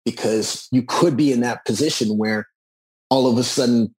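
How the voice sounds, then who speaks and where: Husky man in his forties. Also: medium pitch, normal speed, a little mellow, rough recording. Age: 40s. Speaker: musician and author being interviewed. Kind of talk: conversational interview answers.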